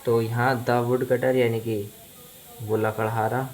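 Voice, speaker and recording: Indian guy, hindi speaker, teaching english real slow n deliberate, some noise in the background. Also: mid-range voice, little pitch movement, a little mellow. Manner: deliberate, repetitive, explanatory. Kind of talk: bilingual language lesson, phrase by phrase.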